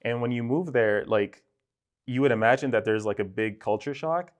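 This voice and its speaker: A young man from Sudan, a podcast guest talking in a relaxed, rambling way and filling his speech with "like" and "right?". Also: medium pitch, moderate pace, smooth. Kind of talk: casual conversational interview.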